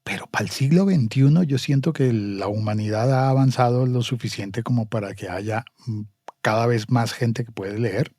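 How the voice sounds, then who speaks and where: A man from Colombia, a guest in a casual podcast chat, speaking in a measured, reflective way. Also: medium pitch, dark tone, dry recording, clean studio sound.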